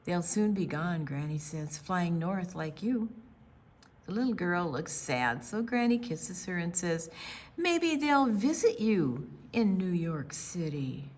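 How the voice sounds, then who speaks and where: Slow, expressive older woman reading aloud. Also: fairly low pitch. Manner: slow, deliberate storyteller phrasing with dramatic pauses. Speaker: children's storytime presenter. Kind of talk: reading a picture book aloud to children.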